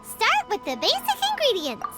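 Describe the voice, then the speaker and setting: A woman voicing a tiny, chirpy, childlike fairy companion in an anime-style game, squeaky, bubbly and loyal. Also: huge pitch swings, moderate pace, over loud music, exaggerated voice. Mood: busy, upbeat. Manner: exclamatory, bubbly, speaks of self by name.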